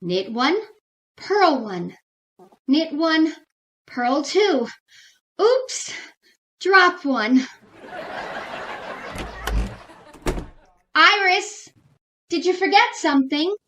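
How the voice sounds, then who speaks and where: A woman's voice: high voice, big pitch swings, drawn-out words, long pauses, fairly bright tone, a little hiss or hum, full character voice. A woman playing a scatterbrained, easily flustered housewife in an old-time radio sitcom, with a fluttery comic delivery.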